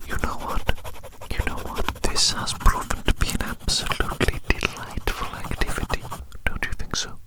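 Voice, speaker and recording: This is an ASMR performer speaking entirely in a breathy whisper, very close to the microphone. The delivery rambles along, circling back to repeat phrases and addressing the listener as a friend. Soft scratching sounds sit behind the voice.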